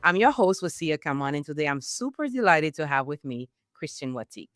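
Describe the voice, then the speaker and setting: A woman hosting a podcast interview, enthusiastic, stumbling over repeated words when excited. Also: deep voice, brisk, no room sound, clean studio sound, faint music behind. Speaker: podcast host.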